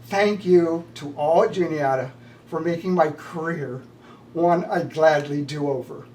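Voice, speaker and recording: A man's voice: a little high, measured pace, a little mellow. An older man, a retiring college professor, giving prepared farewell remarks. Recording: distant mic with slight background noise.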